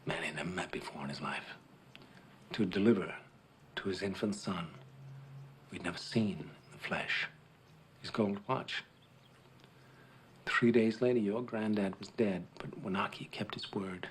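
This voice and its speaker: An actor playing a solemn middle-aged war veteran captain in a film drama, telling his story earnestly and haltingly, with long pauses. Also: sits low. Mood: grave, hushed. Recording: noisy film soundtrack.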